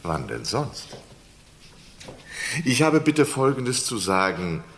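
Slyly courteous condemned prisoner. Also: male voice, fairly low pitch, huge pitch swings, a little hiss or hum, full character voice. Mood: calm polite menace. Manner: elaborately polite, hesitant, drawn-out menace. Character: sly condemned male prisoner, unruffled, mockingly courteous. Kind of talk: German radio crime play acting.

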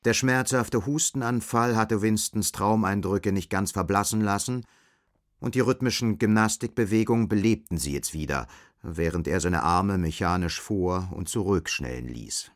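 A man's voice: lowish voice, normal speed. A man narrating a scripted radio drama as its storyteller. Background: faint music.

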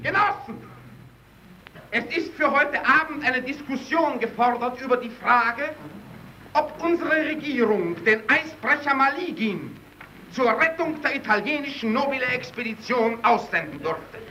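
A man's voice: extremely high pitch, expressive, measured pace, pushed and bright, exaggerated voice. A male actor playing a stern Soviet meeting chairman in a vintage radio play, speaking in formal, official oratory. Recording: faintly noisy old radio recording.

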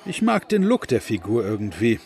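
A man's voice: lowish voice, wildly swooping pitch, normal speed, creaky, darkish tone, heavily acted. An actor playing a laid-back young man gamer in an amateur comedy audio drama. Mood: easygoing.

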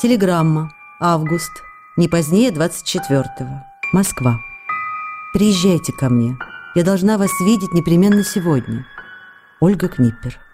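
An actress playing a lively, affectionate young actress who reads her letters in a chatty, coquettish way. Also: fairly low pitch, measured pace, music in the background. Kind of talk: acted reading of letters in a radio play.

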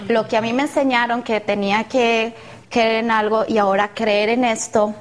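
A woman's voice: on the high side, little pitch movement, moderate pace. Earnest young Colombian woman. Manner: earnest, searching for words. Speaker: panelist.

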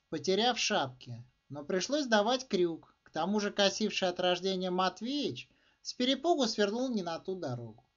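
A man reading his own literary short story aloud in a theatrical, expressive way, as an author narrating. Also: sits high. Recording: dull, slightly muffled recording.

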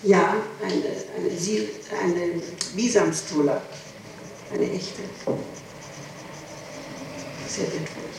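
A woman's voice: lowish voice, extremely slow, pauses often. A woman being questioned as a suspect, answering with emphatic, repeated protests on an old interrogation tape from the 1960s. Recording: noisy, echoey, distant 1960s tape recording.

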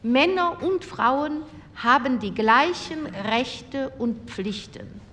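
An actress playing an earnest woman delegate who gives a formal, deliberate speech in a radio drama. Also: high voice, lively intonation, fairly bright tone, slight background noise, exaggerated voice. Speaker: politician speaking in a committee debate. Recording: long hall reverb, faint ambience.

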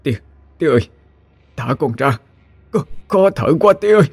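A man's voice: on the low side, measured pace, mellow, no room sound. A man with a Southern Vietnamese accent voicing a terrified young man pleading with his father in panicked, breathless bursts.

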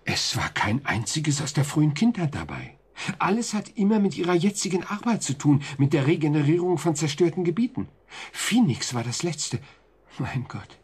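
An actor playing a probing, reassuring psychiatrist in a German radio drama, explaining things in a clinical manner with a husky voice. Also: male voice, a little high, big pitch swings, normal speed, heavily acted. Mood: pensive, puzzled. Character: conscientious male psychiatrist, probing, reassuring, easily unsettled. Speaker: doctor.

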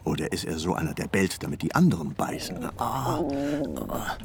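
An actor playing a grumbling, queasy poet in a German radio drama, muttering and groaning theatrically. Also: male voice, deep voice, sing-song, normal speed, background noise. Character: grumbling, ailing male poet Goethe with queasy stomach.

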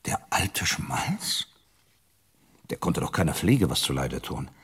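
Deep husky, patronizing boss. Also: male voice, very animated, a bit bright, exaggerated voice. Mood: smoothly reproachful. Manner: patronizing, polished, deliberate. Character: suave, powerful male company boss, old acquaintance. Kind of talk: crime radio drama dialogue.

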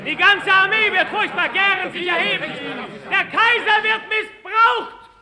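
Pleading loyal officer, extremely high, urgent, declamatory, 1950s radio drama, crowd uproar. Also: male voice, measured pace. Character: impassioned, loyal male officer pleading with his commander. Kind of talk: 1950s German radio play acting.

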